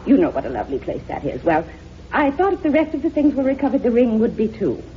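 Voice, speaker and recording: A woman's voice: a little high, big pitch swings, quick pace. An actress playing a flighty, self-satisfied divorced society woman who gushes and rambles theatrically in a 1950s radio drama. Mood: flustered, chatty. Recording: dull, noisy vintage radio recording.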